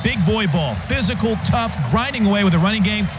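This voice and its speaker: Man, sports reporter, high voice, emphatic punchy phrasing, dull audio. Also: animated, a little fast. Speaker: football broadcast reporter. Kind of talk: live sports commentary. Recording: muffled broadcast, loud stadium noise.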